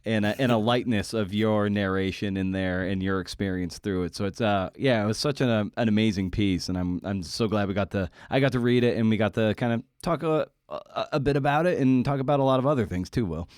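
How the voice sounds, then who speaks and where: A man's voice: lowish voice, brisk, hardly any pauses, darkish tone, smooth, no room sound, clean studio sound. A man hosting a podcast in an informal, rambling, conversational way. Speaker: podcast host.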